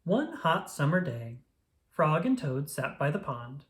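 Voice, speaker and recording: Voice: mid-range voice, big pitch swings, a little slow. A narrator reading a children's storybook aloud in a scripted storytelling style.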